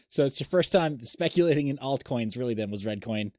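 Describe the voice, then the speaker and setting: A man's voice: medium pitch, animated, normal speed, no room sound, studio quality. A man hosting a casual chat, cutting in with joking, apologetic asides. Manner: jokey, apologetic interjections. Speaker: host.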